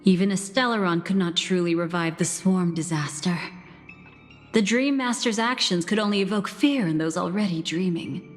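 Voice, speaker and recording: Woman acting a calm enigmatic storyteller in reverb. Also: on the low side, moderate pace, natural voice. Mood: composed, knowing. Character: calm, enigmatic female storyteller revealing past schemes. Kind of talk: scripted video game story narration. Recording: reverberant, music underneath.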